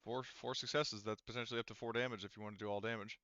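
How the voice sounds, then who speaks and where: A man running a casual online tabletop roleplaying game as game master, thinking aloud haltingly as he works through the rules. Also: fairly low pitch, fairly even intonation, fairly bright tone, dry recording, muffled recording.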